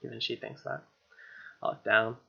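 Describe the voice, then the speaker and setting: A man's voice: medium pitch, steady tone, moderate pace, vocal fry, breathy edge, dull audio. A man with an American accent reading a visual novel aloud as a gaming host, with casual asides. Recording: game music behind.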